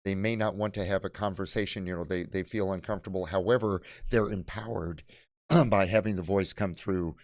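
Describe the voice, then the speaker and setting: A man giving an unscripted product presentation as a presenter of library kits, speaking in a halting way with many fillers. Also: deep voice, fairly even intonation, dry recording, studio quality. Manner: halting, filler-heavy delivery.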